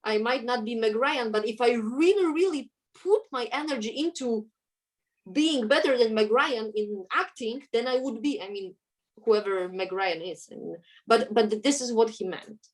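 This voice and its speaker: A woman's voice: on the high side, normal speed. A woman with a Polish accent, a native Polish speaker, talking earnestly as an interview guest and often searching for her words. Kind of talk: conversational interview.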